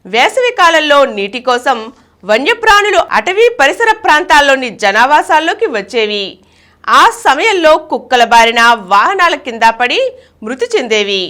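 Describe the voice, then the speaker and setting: A woman's voice: sits high, big pitch swings, moderate pace, sharp tone. A woman reading a scripted news bulletin in a formal, emphatic way. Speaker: news reader.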